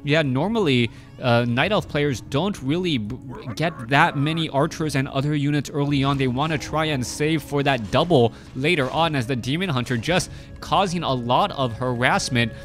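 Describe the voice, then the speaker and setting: Guy in his thirties doing chill game commentary, mid pitch, lots of ums and restarts, faint music behind. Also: moderate pace, fairly bright tone. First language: Cantonese. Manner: hesitant, many fillers and restarts. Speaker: game caster. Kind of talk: casual play-by-play commentary.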